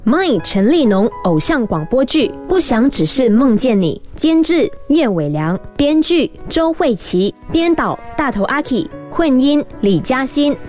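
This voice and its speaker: 一位带马来西亚华语腔的女播报员，照稿播报广播剧片头片尾式的内容。. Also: female voice, sits high, very animated, unhurried, hardly any pauses, darkish tone, faint music behind. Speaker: announcer reading credits. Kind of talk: scripted radio announcement.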